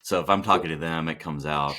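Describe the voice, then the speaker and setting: Deep-voiced Texan chaplain, easygoing. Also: male voice, a little fast. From Texas, Dallas area. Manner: relaxed and reflective, many fillers and restarts. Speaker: hospital palliative care chaplain, podcast guest. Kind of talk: casual interview talk.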